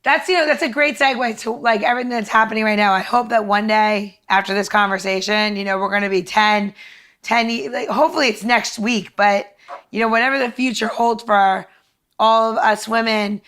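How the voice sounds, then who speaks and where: A woman's voice: medium pitch, fairly even intonation, fairly quick, fairly bright tone. A middle-aged woman hosting a podcast in an informal chat, repeating phrases for emphasis. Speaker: podcast host. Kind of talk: casual chat.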